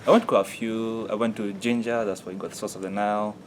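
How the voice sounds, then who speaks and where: A man's voice: on the low side, flat delivery, quick pace, slight background noise. A man from Uganda, an animator, answering interview questions casually with hesitant fillers and restarts. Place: busy studio workspace. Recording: crowd chatter behind.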